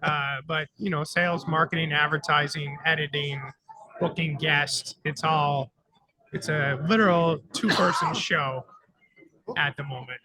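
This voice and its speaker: A man's voice: a little high, fairly even intonation, a little slow, crowd noise, low-quality recording. A man co-hosting a live radio show from a conference, talking in a chatty, upbeat way. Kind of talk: casual live radio chat. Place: conference floor broadcast.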